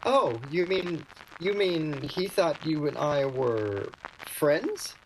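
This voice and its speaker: An actor playing a polite, slightly awkward male shop clerk in a radio play, speaking formally and haltingly. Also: fairly high pitch, lively intonation, slight background noise, rough recording. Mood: wry, subdued. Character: courteous, awkward male shop clerk, gently wry suitor.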